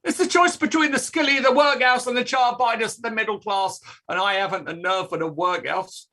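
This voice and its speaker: An actor playing an aggrieved old dustman with a raspy voice, declaiming his complaints in a staged reading. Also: very high voice, brisk, sharp tone, exaggerated voice. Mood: resigned lament. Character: blustering, aggrieved old working-class English dustman.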